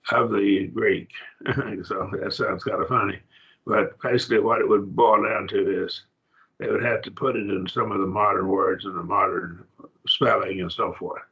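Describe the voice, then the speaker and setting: A man teaching a college-level Bible study class in a plain, halting lecture with restarts and asides. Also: lowish voice, phone-quality sound.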